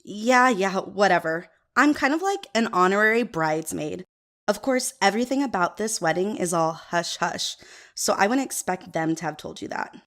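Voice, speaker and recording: A woman's voice: medium pitch, lively intonation, moderate pace, fairly bright tone. An actress playing a snobby, pretentious, airheaded young woman with a condescending, affected manner in an audio drama. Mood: smug, bragging. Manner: condescending, affected, valley-girl filler words.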